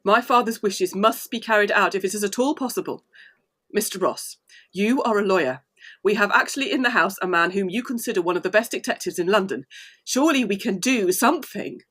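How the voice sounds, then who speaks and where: An actress playing an earnest, resolute young gentlewoman in a gothic audio drama, speaking formally and with conviction. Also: medium pitch, natural voice. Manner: formal, measured, full of conviction.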